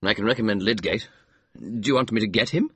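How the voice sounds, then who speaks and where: Man doing an urgent gentleman, quick, husky, low. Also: dry recording.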